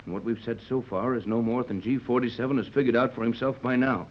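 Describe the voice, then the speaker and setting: An actor playing a steady, fatherly sea captain who reasons things out, in 1930s radio serial style. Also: medium pitch, close to an everyday voice. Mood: thoughtful. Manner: measured, reassuring, explanatory. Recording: dull old 1930s radio recording.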